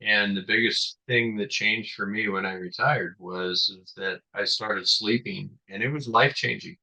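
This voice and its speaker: A man's voice: on the low side, fairly even intonation, normal speed, gravelly, sharp tone. A middle-aged man hosting an interview, asking long, winding questions with plenty of fillers. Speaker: podcast host. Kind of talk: conversational interview. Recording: dull lo-fi sound.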